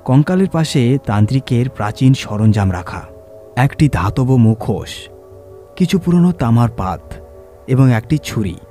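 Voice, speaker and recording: A male narrator reads a horror tale in a mellow, mid-pitched voice. His scripted delivery is dramatic and measured, built on suspense. Soft music sits behind him. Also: big pitch swings.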